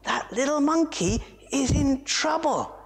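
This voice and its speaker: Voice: high-pitched, animated, unhurried, gravelly, pitched above the performer's own voice, heavily acted. A storyteller voicing a kind giraffe speaking in an earnest, concerned voice. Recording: echoey hall reverb.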